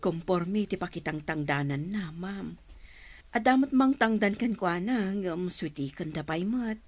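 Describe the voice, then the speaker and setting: A woman's voice: fairly low pitch, expressive, measured pace, darkish tone, faint music behind. A woman playing a worried, plain-spoken mother from a poor rural household in a radio drama. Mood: deferential.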